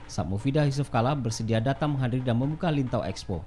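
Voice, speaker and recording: A man reading a scripted news report as a voice-over in a brisk, formal way. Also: mid-range voice, mellow.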